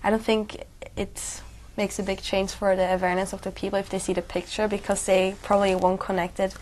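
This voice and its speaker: Chatty young woman, flat delivery. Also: mid-range voice, fairly quick, rough recording. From Switzerland. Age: young adult. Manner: chatty, thinks aloud. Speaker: exam candidate. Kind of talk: speaking exam conversation.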